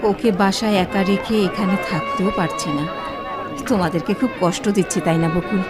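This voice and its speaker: An actress playing a worried, gentle, apologetic mother of a hospital patient in a Bengali radio drama. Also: medium pitch, a little fast, over loud music, lightly acted.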